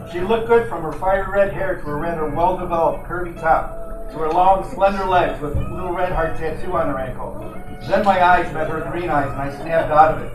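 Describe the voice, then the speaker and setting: A man's voice: a little high, natural voice. A man playing a wisecracking hard-boiled detective, roomy sound. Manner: wry, slangy tough-guy talk. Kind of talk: old-time radio detective drama, noir narration and dialogue. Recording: roomy, distant, music behind.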